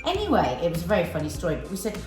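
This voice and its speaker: A woman's voice: lowish voice, huge pitch swings, very fast, darkish tone. A woman co-host chats with her father, her voice swinging widely up and down as she teases him and asks questions. Her voice sounds roomy, with faint music playing behind it. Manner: chatty, teasing, laughs often. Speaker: co-host, daughter. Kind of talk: casual family chat.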